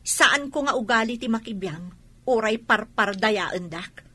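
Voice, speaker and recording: A woman's voice: fairly high pitch, lively intonation, measured pace, bright, forceful tone, a little hiss or hum. A woman playing an aggrieved young mother and daughter-in-law, firmly reasoning and defending herself in radio drama style. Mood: defensive. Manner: firm, reasoning, restrained reproach.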